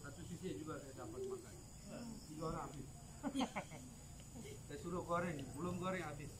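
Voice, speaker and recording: A man's voice: fairly high pitch, fairly even intonation, normal speed, a little hiss or hum. A man chatting casually about food while telling a story outdoors in a rural garden. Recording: distant voice with loud outdoor insects.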